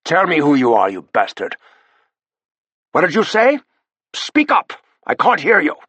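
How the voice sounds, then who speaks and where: A man's voice: medium pitch, big pitch swings, normal speed, gravelly, husky, dry recording, heavily acted. A man doing a weary aristocratic agent.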